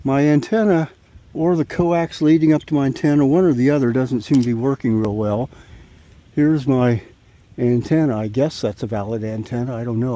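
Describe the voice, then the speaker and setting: A man's voice: medium pitch, mellow, no room sound, lo-fi sound. A man talking casually and informally as he demonstrates work on his boat, rambling a little to himself. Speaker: sailboat owner demonstrating repairs. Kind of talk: casual vlog talk.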